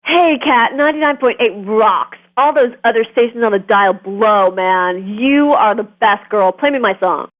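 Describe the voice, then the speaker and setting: A woman's voice: on the high side, unhurried, hardly any pauses, fairly bright tone, poor audio. A woman playing a gushing teenage girl who calls a radio station, talking in breathless fan chatter. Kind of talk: scripted game voice lines.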